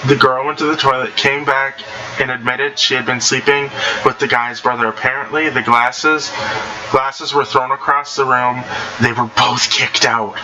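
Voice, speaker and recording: A man with a mid-pitched voice reads stories aloud in a casual, unpolished way. He stumbles and goes back over phrases. The amateur recording has plainly audible noise behind him. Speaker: host reading online stories aloud. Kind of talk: casual read-aloud with off-the-cuff reactions.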